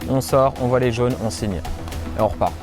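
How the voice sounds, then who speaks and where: A man, a race contestant, explaining his team's choices in a measured way. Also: medium pitch. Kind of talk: interview commentary. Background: music.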